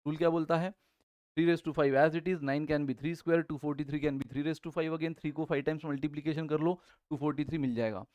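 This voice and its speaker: Hoarse, high-pitched Indian math teacher. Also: male voice, steady tone, normal speed, darkish tone, dry recording, muffled recording. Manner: addresses students as guys, asks and answers his own questions. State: sore throat. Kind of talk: online exam-prep math lesson.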